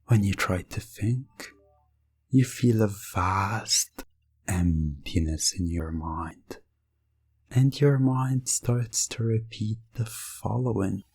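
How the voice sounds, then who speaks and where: A man narrating scripted hypnosis ASMR in the second person, drawing out his phrases in a halting, suggestive way. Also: lowish voice, a little mellow, husky. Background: music.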